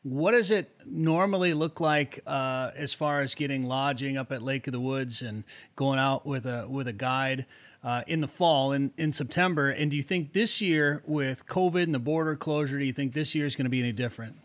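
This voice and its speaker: A man's voice: medium pitch, moderate pace, fairly bright tone. A man in his forties hosting a casual podcast interview, speaking into a studio microphone. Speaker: podcast host. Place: home studio room indoors. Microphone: studio mic with pop filter, headphones.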